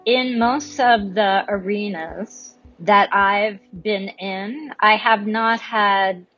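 A woman academic, a guest in an interview, speaking in an assertive and measured way. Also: mid-range voice, a bit bright, lo-fi sound, soft background music. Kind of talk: interview answer.

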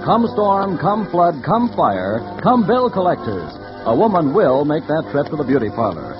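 An actor as a wry, theatrical narrator and announcer in 1940s radio style. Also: male voice, fairly high pitch, very animated, normal speed. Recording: muffled vintage radio recording. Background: music.

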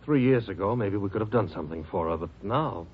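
A man's voice: fairly low pitch, lively intonation, quick pace, natural voice. A man playing a frustrated, weary hospital doctor in 1950s radio drama style. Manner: exasperated, brooding. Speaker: doctor character. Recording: muffled, noisy old radio recording.

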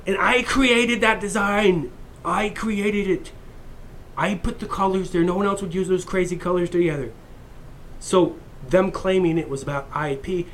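A man's voice: high-pitched, slight background noise, poor audio. A man vlogging in a heated, profane, rambling rant to his viewers. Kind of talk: unscripted rant to camera.

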